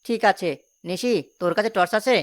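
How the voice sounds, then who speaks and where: A woman's voice: medium pitch, a little fast, lightly acted. A woman voicing a resolute young village man who leads his friends in a cartoon story, decisive and earnest. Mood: urgent, commanding.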